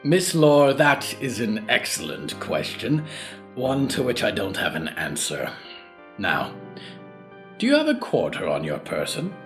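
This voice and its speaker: An actor playing a gentle, cautious mentor in an audio drama, speaking in a measured, halting, courteous way. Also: male voice, on the low side, huge pitch swings, soft background music. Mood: thoughtful, grave. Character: gentle, cautious confined mentor with odd scholarly musings. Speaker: teacher to a student.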